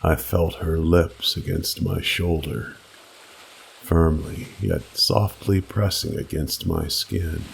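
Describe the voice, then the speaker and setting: Male horror narrator: deep, heavily gravelly, slow and brooding, dramatic scripted read over faint ambient noise. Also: steady tone, rough recording. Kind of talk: dramatic scripted first-person creepypasta narration.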